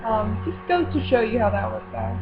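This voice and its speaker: A woman's voice: on the high side, normal speed, over music. A teenage girl chatting casually to her viewers, rambling with many fillers and correcting herself as she goes. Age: teens. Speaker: vlogger running a book club. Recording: tinny lo-fi with steady mains hum.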